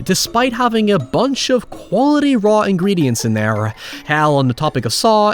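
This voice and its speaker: A man narrating a scripted video essay as its host, giving his opinions with a wry, casually sweary manner. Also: fairly high pitch. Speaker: host of a film retrospective show.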